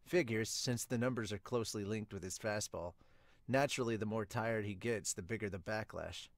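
A man voicing a calm, determined teenage baseball catcher in a manga dub, measured and matter-of-fact. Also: lowish voice, no echo, close to an everyday voice. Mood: measured, serious.

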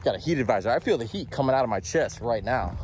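A man's voice: mid-range voice, lively intonation, fast talker, a little mellow. Fishing vlogger, male, 40s, chatty and upbeat, handheld camera outdoors, a bit winded, faint background noise. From South Florida. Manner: chatty, self-talking, upbeat. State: out of breath from heat. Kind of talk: casual outdoor vlog. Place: outdoors by a canal and in a truck. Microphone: handheld camera at arm's length.

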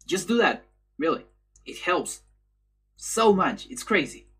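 A man's voice: high-pitched, big pitch swings, slow, a bit bright, a little hiss or hum. A young man whose first language is Spanish, chatting casually with stream viewers from his bedroom in rambling, self-correcting English and laughing easily. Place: bedroom, indoors.

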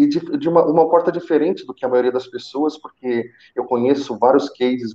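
A man in his thirties talks earnestly through a headset on a video call and often repeats words as he restarts. His voice is mellow and dark. The call audio sounds muffled and echoes in the room. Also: medium pitch. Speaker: senior call center manager, guest. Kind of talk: live video chat, telling his career story.

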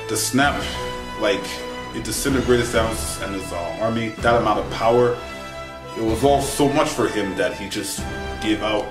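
A young man giving a casual, animated spoken review to camera in a conversational, slangy way. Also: lowish voice, echoey room. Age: young adult. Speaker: film reviewer. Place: small wood-furnished bedroom, indoors.

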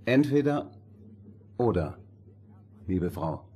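An actor playing a condescending, commanding gentleman stranger in a fairy-tale audio drama. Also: fairly low pitch, very animated, takes it slowly, slightly rough, heavily acted. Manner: patronising, commanding. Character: smooth-talking, condescending gentleman stranger giving orders.

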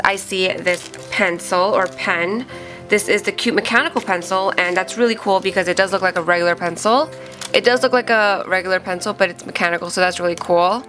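A woman's voice: lowish voice, bright, forceful tone. A young woman with a stuffy, nasal voice from a cold, chatting casually as she unboxes and reviews small items. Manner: chatty, thinking aloud with fillers. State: sick and nasal. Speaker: unboxing vlogger. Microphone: off-camera voice over hands-only tabletop shots.

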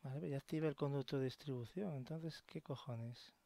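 A man's voice: mid-range voice, moderate pace, gravelly, heavy background noise. A man with a Spain accent giving casual gameplay commentary, muttering and swearing to himself. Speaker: gamer narrating gameplay. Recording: voice mixed with game music. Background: game soundtrack and effects.

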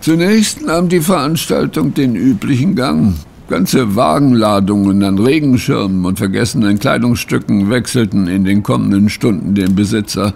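A man acting in a German audio drama as a bewildered, troubled gentleman, telling his account in a solemn, halting way. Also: medium pitch, vocal fry. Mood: earnest recounting.

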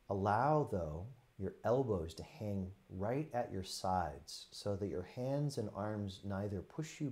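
A man with a fairly low voice guides a meditation. He speaks gently and without hurry, setting out short phrases with pauses between them, as a teacher leading students through a practice.

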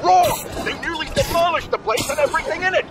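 A man's voice: very high voice, a little fast. An actor voicing an indignant, theatrical male broadcast ranter in a video game, bombastic and emphatic. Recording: distant voice under loud music, crowd.

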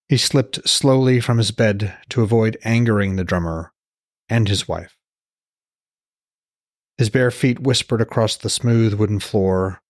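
A man narrates a novel in a low voice with some huskiness. The sound is dry, and he delivers scripted storytelling as host of his own podcast. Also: no room sound.

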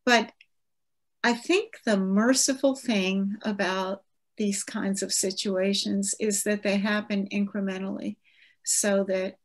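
A woman in her seventies talks reflectively over a video call. She is an author answering audience questions, gentle and unhurried, often restarting a phrase before going on. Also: medium pitch, fairly even intonation. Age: 70s. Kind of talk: virtual book event conversation.

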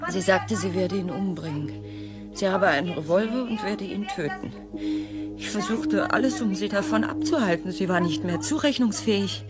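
A woman's voice: mid-range voice, moderate pace, close to an everyday voice. An actress playing a guilt-ridden woman in a crime radio play, making a grave, haunted confession. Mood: anguished. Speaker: actor. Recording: loud music under the voice.

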